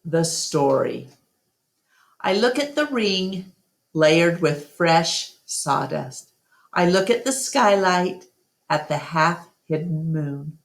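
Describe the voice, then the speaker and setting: A woman narrating a children's book aloud as an expressive storytime reader. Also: sits low, takes it slowly, vocal fry.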